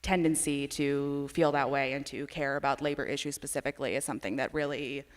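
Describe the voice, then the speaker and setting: A woman's voice: very deep voice, steady tone, fairly quick. A woman editor speaking as a conference panelist, earnest and filling her talk with "um" and "you know.". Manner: earnest, many fillers. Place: large conference hall. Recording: long hall reverb.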